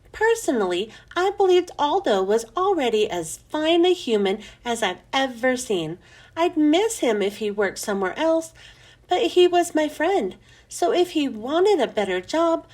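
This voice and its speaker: High, animated woman reading a storybook. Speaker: children's book reader. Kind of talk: expressive scripted storybook narration.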